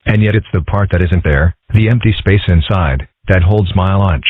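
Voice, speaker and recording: A man voicing a calm, philosophical young fox boy in a comic strip dub, deadpan, measured and wry. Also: sits low, slightly rough, dry recording. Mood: calm, musing. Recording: muffled, phone-like narrow audio.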